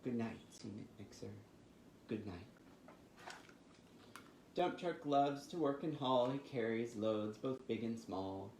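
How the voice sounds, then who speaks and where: A man hosting storytime for young children, with an expressive, sing-song delivery. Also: medium pitch, slow, long pauses. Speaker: children's library storytime host. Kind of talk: reading picture books and leading finger rhymes. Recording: roomy echo with faint background noise.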